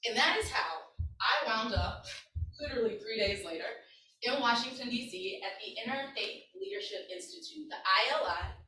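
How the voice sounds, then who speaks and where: A woman's voice: a little high, expressive, moderate pace, pushed and bright. A woman in her thirties presenting a workshop and telling a personal story to an audience in an earnest, conversational way, often checking in with her listeners. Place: conference room with an audience. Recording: echoey room, distant mic.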